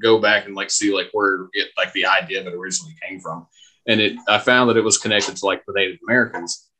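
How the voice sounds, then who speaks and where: Deep, bright-edged man's voice. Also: fairly even intonation, fast talker, poor audio. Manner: hesitant, searching for words. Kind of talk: casual chat.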